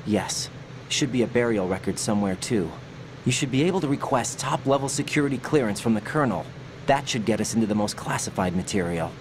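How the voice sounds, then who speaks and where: A man's voice: medium pitch, animated, brisk, close to an everyday voice. A man with an American accent playing an earnest, determined young special operative in a video game. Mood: businesslike. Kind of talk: video game cutscene acting. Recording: clear vehicle and ambience noise behind.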